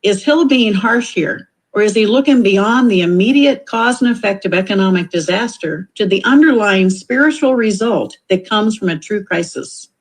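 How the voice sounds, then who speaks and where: A woman reading scripted audiobook commentary in a deliberate, reflective way. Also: medium pitch, animated, rough recording.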